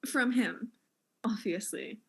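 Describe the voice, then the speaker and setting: A woman's voice: a little high, slow, vocal fry, husky, exaggerated voice. A woman playing a vain, catty space fashion designer in a mystery drama, self-important and theatrical. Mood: boastful. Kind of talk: acted mystery audio drama.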